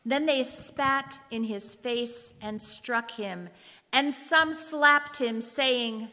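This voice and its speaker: A woman serves as narrator for a readers' theater of scripture. Her voice is rather high and her pace very slow, with deliberate phrasing. A long hall reverb follows her words. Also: pushed and bright.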